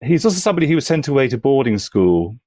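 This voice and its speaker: A man's voice: mid-range voice, sing-song, fast talker, dark tone, dry recording. A man on a podcast panel, discussing and analysing casually in a tentative, searching, self-correcting way.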